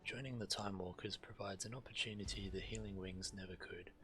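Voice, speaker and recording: A man's voice: deep voice, fairly even intonation, a little fast. A man streaming a game, reading quest text aloud and adding casual commentary.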